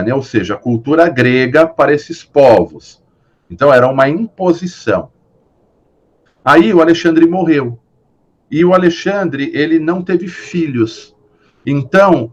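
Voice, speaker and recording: A man's voice: medium pitch, dull audio. A Brazilian man teaching a philosophy class online, explaining patiently with many fillers and tag questions. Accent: Brazilian Portuguese.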